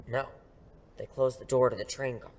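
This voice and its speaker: A man's voice: mid-range voice, steady tone, moderate pace, darkish tone, slight background noise, close to an everyday voice. Man as a confident, wry superhero mentor. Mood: hushed, focused. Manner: dry, instructive, self-assured. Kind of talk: audio drama acting.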